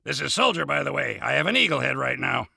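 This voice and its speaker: A man playing a blustering patriotic eagle-headed soldier in a video game, barking in a harsh, gravelly American accent. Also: mid-range voice, big pitch swings, fast talker, pushed and bright, no room sound, studio quality, exaggerated voice. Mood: bombastic. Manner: barking drill-sergeant bluster. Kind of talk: exaggerated video game character acting.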